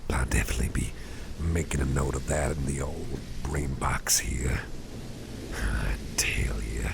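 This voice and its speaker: Very deep, slow, soft-spoken caring boss played by a man. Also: heavy background noise, exaggerated voice. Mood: relaxed, musing. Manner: soft, murmured, breathy, rambling and reassuring. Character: caring, easygoing male boss, gently soothing and chatty. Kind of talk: ASMR roleplay, soft personal-attention talk.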